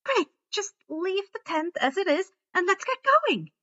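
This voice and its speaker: A woman's voice: very high-pitched, lively intonation, a bit bright, muffled recording, pitched above the performer's own voice, heavily acted. A woman voicing an eager, urgent young witch in a fantasy story reading. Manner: quick, urgent.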